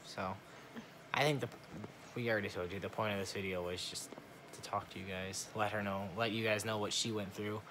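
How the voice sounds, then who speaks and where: A man's voice: on the low side, fairly even intonation, fairly quick, noisy background. A young man talking casually as he co-tells a personal story on a vlog. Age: young adult. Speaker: vlogger, new father.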